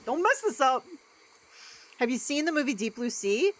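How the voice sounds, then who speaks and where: A young adult woman with a deeper voice, livestreaming a game and chatting casually with lots of "like" and "um". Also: very animated, normal speed, rough recording. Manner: rambling, chatty, many "like" and "um" fillers. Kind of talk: casual chat while gaming.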